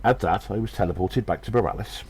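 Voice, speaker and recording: A man voicing a gnome adventurer who recounts his exploits slowly, in audio drama style. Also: lowish voice, darkish tone, husky, pitched above the performer's own voice. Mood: measured, grave. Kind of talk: first-person audio drama narration.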